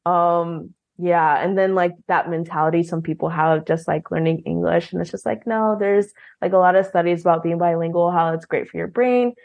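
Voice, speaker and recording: A woman's voice: lowish voice, steady tone, moderate pace, vocal fry, hardly any pauses, darkish tone, lo-fi sound. A young woman speaking as an interview guest, chatty, with lots of "like" and "um". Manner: chatty, many "like" and "um" fillers. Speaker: guest, language program leader. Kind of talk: casual interview talk.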